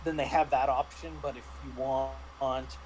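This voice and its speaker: A man speaking casually and haltingly as a guest game master, often repeating words as he explains. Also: medium pitch, steady tone, darkish tone. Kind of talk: casual chat reviewing a tabletop game. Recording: clearly noisy ambient background.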